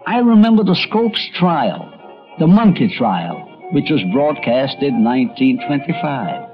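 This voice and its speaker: An older man, a radio critic, reminiscing as documentary narrator with animated storytelling. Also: mid-range voice. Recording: dull archival radio documentary recording.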